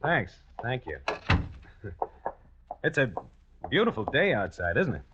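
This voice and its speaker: A man's voice: mid-range voice, sing-song, noisy background. An actor in a 1940s radio suspense drama playing a nervous, desperate man who is driven toward crime by love. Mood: awkward, polite. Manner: hesitant, stammering, polite in dialogue; tense, measured narrating. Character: nervous, desperate lovestruck male pollster turned would-be killer. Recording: dull old radio recording with music.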